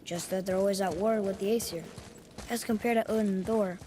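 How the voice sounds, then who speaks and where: A woman's voice: mid-range voice, fairly even intonation, brisk, dark tone, in a large hall, a little hiss or hum, natural voice. A performer playing a curious, earnest young boy in a video game, talkative, inquisitive and eager.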